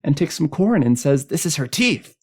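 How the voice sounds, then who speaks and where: A man voicing a gleeful, boastful child in a spooky storybook tale, rattling off a list excitedly. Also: a little high, normal speed, slightly rough, voice pushed up, full character voice. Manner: gleeful, boastful, breathless listing. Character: excited, know-it-all child spoiling a spooky game.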